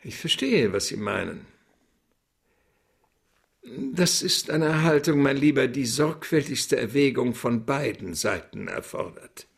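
An actor playing a fat, courteous but calculating middle-aged crime boss in a radio drama, with florid, pompous phrasing. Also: male voice, mid-range voice, sing-song, measured pace, vocal fry, breathy edge, dry recording, clean studio sound, full character voice. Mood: measured politeness.

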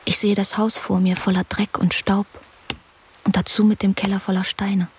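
A woman's voice: mid-range voice, little pitch movement, normal speed, darkish tone, slight background noise, lightly acted. A woman playing the inner voice of a sorrowful, bereaved young daughter, intimate and introspective, in a radio drama. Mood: troubled, inward.

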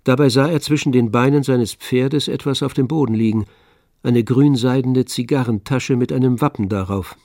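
A man narrating a literary radio drama from a script in a measured storytelling manner. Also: mid-range voice, a little mellow, no room sound, studio quality. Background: music with violin.

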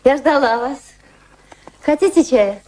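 An actress playing a poised, gently teasing widow of about thirty in a Russian radio drama, speaking in a measured, reflective way. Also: female voice, sits high, expressive, a little hiss or hum, low-quality recording. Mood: poised, amused. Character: poised thirty-year-old widow of English high society, gently teasing. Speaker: actress and narrator in radio play.

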